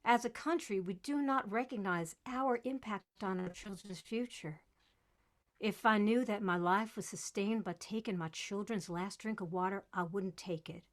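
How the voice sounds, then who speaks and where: An actress playing an earnest, folksy West Virginia coal miner's granddaughter turned activist in a stage monologue. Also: female voice, mid-range voice, normal speed, close to an everyday voice. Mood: earnest, resolute. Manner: plain-spoken, folksy. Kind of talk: theatrical storytelling monologue.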